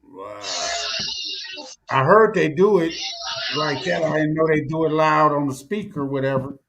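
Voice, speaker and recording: A man's voice: a little high, a little slow, a crowd in the background. A middle-aged American man hosting a casual chat, talking slangily and often repeating his words. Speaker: talk show host. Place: small room indoors.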